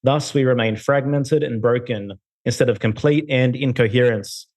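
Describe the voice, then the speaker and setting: A man's voice: medium pitch, moderate pace, darkish tone. A man hosting a podcast, opening the interview in a laid-back way with casual slang. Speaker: podcast host.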